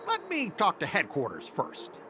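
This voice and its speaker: A man's voice: high voice, huge pitch swings, normal speed, over music. An actor playing a blustering male cargo company worker, flustered and defensive, in game voice acting. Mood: reluctant.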